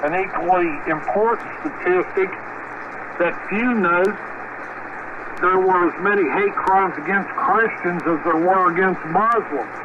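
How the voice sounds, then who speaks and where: A man's voice: sits high, measured pace. A man reading political commentary aloud over shortwave ham radio, now and then stumbling and repeating words. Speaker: ham radio net speaker. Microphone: radio transmitter microphone. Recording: noisy narrowband shortwave ham radio transmission.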